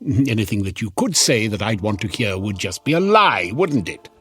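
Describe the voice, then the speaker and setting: A man's voice: on the low side, very animated, normal speed, a bit bright, husky, faint music behind, heavily acted. An actor playing a devilish, smug tempter who stops time and toys with his victims in a sardonic, menacing radio drama style. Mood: cold, smug.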